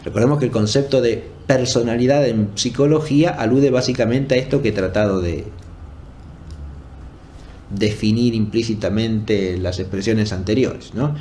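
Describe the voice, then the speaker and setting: A man giving an unscripted academic lecture in a formal, didactic and deliberate way, rephrasing as he goes and often checking in with short tag questions. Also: lowish voice, warm tone, slight background noise. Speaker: philosophy lecturer.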